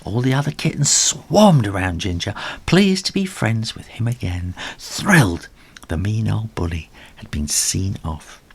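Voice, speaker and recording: A man reading a children's story aloud in a dramatic, animated storybook style. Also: lowish voice, measured pace, slightly rough. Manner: dramatic, with acted sound effects.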